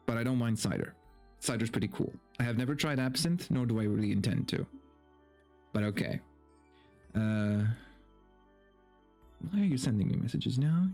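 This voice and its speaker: A man livestreaming in a playful, expressive way, moving between casual chat and reading aloud to viewers. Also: mid-range voice, husky.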